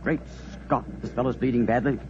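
A man playing a pompous English gentleman. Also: mid-range voice, steady tone, fairly quick, a little hiss or hum, lightly acted. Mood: anxious. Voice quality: pompous, clipped upper-class manner. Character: Sir Christopher, snobbish English gentleman. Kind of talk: 1940s radio acting. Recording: dull lo-fi old radio broadcast.

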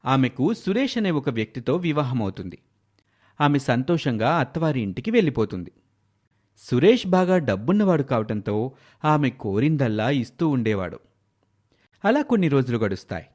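A man's voice: medium pitch, sing-song, moderate pace, darkish tone. A man narrating a scripted moral tale as a storyteller.

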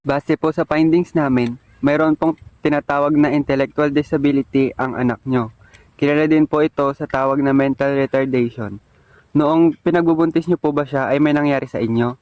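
A Filipino man playing a polite, measured doctor in a radio drama. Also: on the high side, steady tone, a little mellow, dry recording, lightly acted. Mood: polite, grave. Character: polite, measured male doctor giving a diagnosis.

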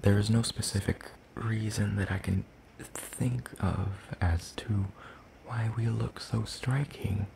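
Gentle, curious demon played by a man for ASMR roleplay: low, mellow, soft-spoken, hesitant and courtly in phrasing. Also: gravelly, a little hiss or hum. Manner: hesitant, formal, slow and deliberate. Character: gentle, curious, one-eyed soul-leeching demon creature.

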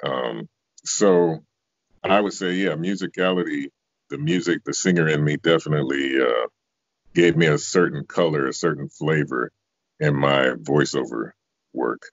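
A man's voice: a bass voice, measured pace, creaky, no room sound. A man in his fifties, a singer and voice actor, answering interview questions in a reflective, conversational way. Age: 50s. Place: indoor room, video call. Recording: dull, lo-fi video call audio.

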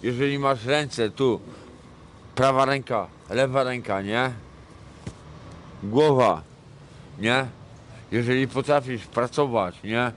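Slow-talking, sweary middle-aged man from Poland. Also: medium pitch, a little hiss or hum. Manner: crude and sweary, rambling, mixes in broken English. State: high. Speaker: homeless man interviewed on the street. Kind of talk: casual street conversation. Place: city street at night, outdoors. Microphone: vlogger's handheld camera mic.

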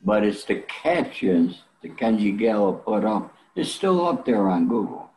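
An elderly man talking casually and bluntly as an interview guest, salty and pausing often, on a video call from a room at home. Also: lowish voice, big pitch swings, a little mellow, a little hiss or hum, rough recording. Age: 70s or older. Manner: blunt, salty, with frequent pauses.

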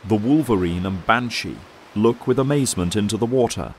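A man's voice: lowish voice, brisk, darkish tone, a little hiss or hum. A man reading scripted dramatic narration as the narrator of an audio drama. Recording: music bed under the voice. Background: music and effects.

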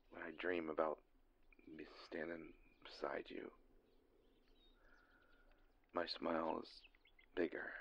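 A man performing an intimate audio roleplay as a lovesick, insecure boyfriend, halting and breathy with a gravelly voice, often sinking into whispers. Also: low-pitched, lo-fi sound, exaggerated voice. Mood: tender longing. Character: lovesick, insecure boyfriend longing for his distant partner. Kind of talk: scripted intimate romantic monologue.

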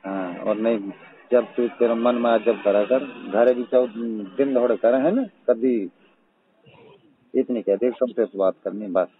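A man with a husky voice and a Mewati accent, chatting casually in a private phone call. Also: mid-range voice, steady tone, a little slow, pauses often. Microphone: telephone. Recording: muffled, tinny phone call recording.

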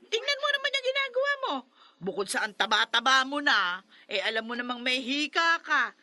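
Shrill, nagging middle-aged mother. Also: sing-song, a little fast, sharp tone, no echo, full character voice. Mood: angry scolding. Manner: scolding, emphatic, repeats herself. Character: nagging, scolding middle-aged mother who resents her children's cats. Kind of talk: Filipino radio drama acting.

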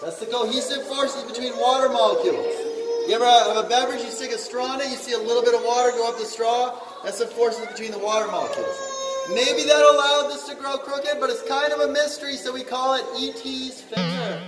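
A man's voice: very high voice, lively intonation, brisk, music in the background. A man working as a cave tour guide, explaining things plainly to a group, picked up by a visitor's distant camera inside a cave. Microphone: distant handheld camera. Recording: echoey cave, distant handheld camera, noisy.

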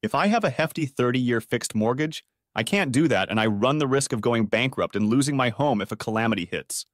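A man presenting a scripted finance explainer in a didactic, measured manner with rhetorical questions. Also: medium pitch, expressive, no echo, clean studio sound.

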